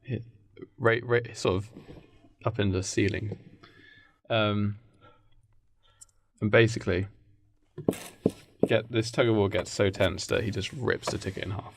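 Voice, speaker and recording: A man's voice: lowish voice, unhurried, pauses often, no room sound. A man hosting a podcast, casually improvising a story and acting out bits of it as he goes. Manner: animated, acts out bits of the story. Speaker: podcast host.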